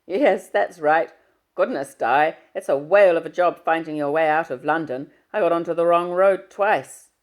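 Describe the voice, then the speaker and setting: A woman reading aloud and voicing a cheerful middle-aged father, with a jovial, brisk and booming manner. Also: natural voice. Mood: hearty.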